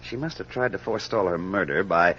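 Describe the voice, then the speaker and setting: A man playing a sharp, commanding detective in an old-time radio mystery, with a deliberate, theatrical way of speaking. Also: deep voice, husky. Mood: confident, analytical. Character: sharp, commanding male detective solving a murder. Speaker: actor in a radio crime drama. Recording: muffled, noisy old radio recording.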